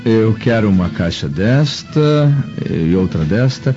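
An actor playing a lordly, commanding Roman senator in a radio drama. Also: male voice, lowish voice, big pitch swings, slow pace. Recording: dull lo-fi with music behind.

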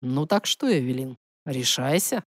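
Женщина в роли низкоголосого самоуверенного принца. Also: female voice, a bass voice, expressive, no room sound, clean studio sound, voice pushed down, full character voice. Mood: coaxing.

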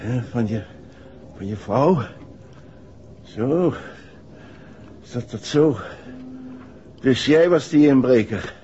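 An actor playing an evasive husband who is secretly a criminal mastermind in a 1960s radio drama, with hesitant, dry Netherlands Dutch speech. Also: male voice, mid-range voice, expressive, slow pace, pauses often, dark tone. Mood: guarded. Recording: muffled, noisy 1960s radio recording.

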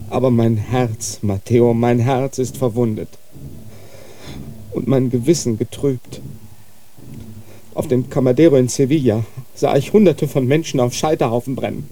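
An actor playing a young, troubled monk in a radio drama, impassioned and pleading, with faint noise behind. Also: male voice, medium pitch, steady tone, normal speed, long pauses, close to an everyday voice, low-quality recording. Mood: tormented. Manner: impassioned, pleading, swings from quiet to outcry.

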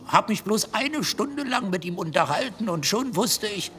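Shady chatty gambler, gravelly and swoopy voice, radio play, echoey room. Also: male voice, high voice, big pitch swings, normal speed, bright, forceful tone, exaggerated voice. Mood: smug, admiring. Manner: colloquial, anecdotal, theatrical. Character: chatty middle-aged gambler, shady underworld fixer with sentimental streak. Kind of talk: German radio drama acting. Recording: reverberant room, faint ambient noise.

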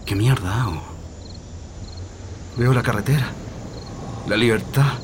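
An actor playing an anxious, submissive political prisoner in a radio drama, with a Chilean accent and a hesitant, pleading way of talking. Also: male voice, medium pitch, measured pace, noisy background, close to an everyday voice. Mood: hushed, torn. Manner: hesitant, pleading, muttering to himself. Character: anxious, submissive male political prisoner, blindfolded, longing for freedom. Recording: radio drama with music behind.

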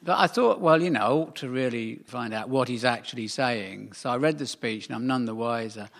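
A man's voice: medium pitch, brisk, gravelly, fairly bright tone. Dry, rambling man. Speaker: panelist. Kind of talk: comic panel banter.

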